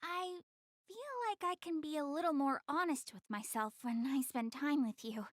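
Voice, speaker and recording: A woman's voice: high-pitched, fairly even intonation, normal speed, no room sound, studio quality, natural voice. A woman voicing a shy, prideful young goddess girl in an English-dubbed anime game, flustered and earnest but a little haughty.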